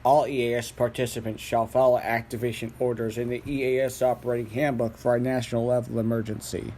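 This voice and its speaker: A man reading a solemn amateur emergency broadcast as announcer, in a grave, deliberate, halting way. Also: mid-range voice, flat delivery, a little mellow, slight background noise.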